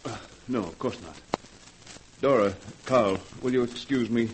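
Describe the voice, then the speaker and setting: Man acting unstable gentleman recluse, old noisy radio. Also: mid-range voice, a little slow, lightly acted. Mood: smoothly courteous. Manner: formal, courteous, theatrical. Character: unstable, secretive gentleman recluse with a violent temper. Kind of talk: 1940s radio horror acting.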